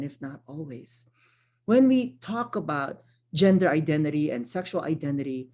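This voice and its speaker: Low-voiced woman presenting a workshop. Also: dark tone. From Philippines. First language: Tagalog. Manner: conversational, frequent tag questions and self-corrections. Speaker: workshop presenter, social worker. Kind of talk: online training presentation.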